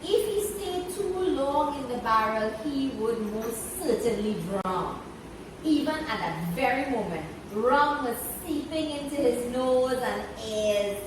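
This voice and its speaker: A woman narrates a children's story aloud with lively intonation and deliberate phrasing. She sounds some way from the mic in a very reverberant room, with clear noise behind her. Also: sits high, recorded from a distance.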